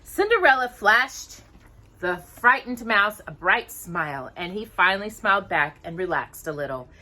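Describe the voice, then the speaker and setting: A woman reading a children's storybook aloud in an expressive, animated storyteller's manner. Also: medium pitch, normal speed. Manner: animated, asks listeners questions.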